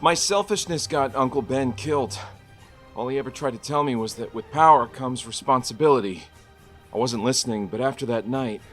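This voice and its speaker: A man's voice: mid-range voice, brisk, a bit bright, faint music behind, lightly acted. An actor voicing a young male superhero, remorseful and earnest, narrating his past in a confessional monologue with dry quips. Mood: grieving, remorseful. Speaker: actor in a script table read. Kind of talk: dramatic first-person monologue.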